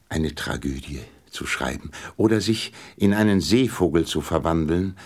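A deep-voiced old king in a radio play. He is pompous yet kindly, swooping up and down as he hands out royal commands, sometimes faltering into stammers. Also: darkish tone. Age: older.

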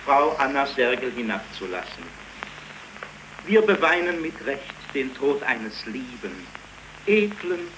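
A man acting a solemn clergyman giving a measured, declamatory eulogy at a graveside in a 1930s radio play. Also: mid-range voice, natural voice. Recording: noisy crackly 1931 radio recording.

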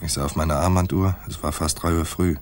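An actor playing a wry, laconic private detective in a noir radio drama, speaking in a dry, understated way. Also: male voice, rumbling low, fairly even intonation, brisk. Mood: dry, brooding. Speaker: narrator and lead actor. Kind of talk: noir radio drama, first-person narration and dialogue.

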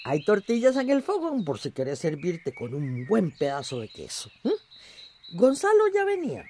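Motherly, slow-talking village woman, very animated. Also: on the low side, slight background noise. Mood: warm, teasing. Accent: Central American. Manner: affectionate, coaxing. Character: motherly, chatty village countrywoman, caring and teasing. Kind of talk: radio drama acting.

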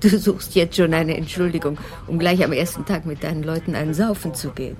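A commissioner's wife, played by an actress in a radio crime drama. She has a slightly low voice and talks in a familiar, admonishing way, caring but bossy. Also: brisk, darkish tone, close to an everyday voice. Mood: scolding. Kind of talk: German radio drama dialogue.

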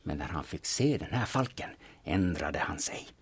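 Man playing very deep, husky, cynical schemer. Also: lively intonation, heavily acted. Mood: intense storytelling. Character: cynical, blunt, secretive male grave robber Heikki. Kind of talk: audio drama acting.

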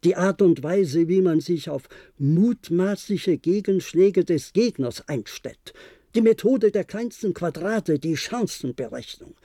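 An actor in a German radio play, playing an older, resigned former diplomat who reminisces in a measured, formal way. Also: male voice, sits high, lively intonation, dark tone, no room sound, clean studio sound, full character voice. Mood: nostalgic, reflective. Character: resigned, reflective former diplomat, aging bunker survivor.

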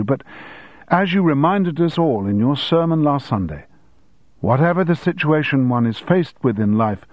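A man's voice: medium pitch, no room sound, pitched above the performer's own voice, lightly acted. A man voicing a cheeky, unflappable teenage schoolboy in an audiobook, with frank, mock-deferential politeness. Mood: politely apologetic. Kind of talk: audiobook character dialogue.

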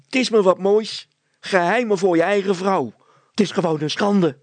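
A man's voice: high-pitched, moderate pace, no echo, pitched above the performer's own voice, close to an everyday voice. A man voicing a scornful, indignant village wife and mother in a storybook reading. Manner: sneering, indignant.

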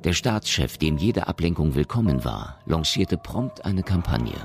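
A man's voice: very deep voice, sing-song, a little slow, exaggerated voice. An actor narrating a science fiction radio drama as a composed male storyteller, reading scripted prose. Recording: studio narration with faint music. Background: faint music.

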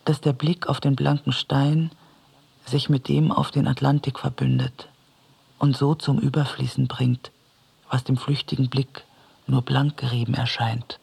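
A man with a husky, gravelly voice reading literary prose as a narrator, measured and contemplative. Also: mid-range voice, flat delivery, a little mellow.

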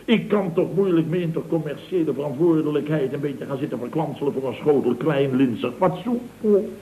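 A man's voice: on the high side, expressive, fairly quick, close to an everyday voice. An actor playing a scheming, mock-innocent contractor boss in a 1960s radio comedy, with a Netherlands Dutch accent, stammering out repeated phrases as he insinuates. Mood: blustering pressure. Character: wheedling, scheming male building contractor boss. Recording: muffled old radio recording, roomy sound.

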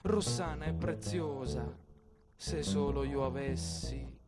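A man's voice: medium pitch, slow, loud music behind the voice, natural voice. A man as an earnest, tongue-tied young musketeer. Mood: flustered. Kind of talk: stage monologue theatre.

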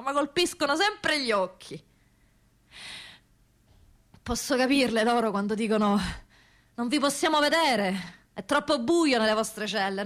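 A woman's voice: on the high side, fairly bright tone. An actress playing a weary, indignant woman prisoner in an emphatic theatrical radio monologue.

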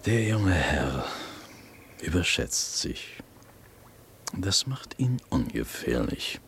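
An actor playing a sly, self-important Prussian court minister in a radio play, with formal, insinuating diction. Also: male voice, deep voice, big pitch swings, slow, a bit bright, a little hiss or hum, heavily acted. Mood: quietly scornful. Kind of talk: German radio play acting.

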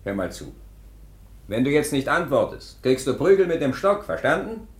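A man's voice: mid-range voice, sing-song, moderate pace, slightly rough. An actor playing a stern male police commissioner interrogating a strange foundling in a radio play. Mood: threatening. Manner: brusque, commanding interrogator. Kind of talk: 1950s-style radio play acting.

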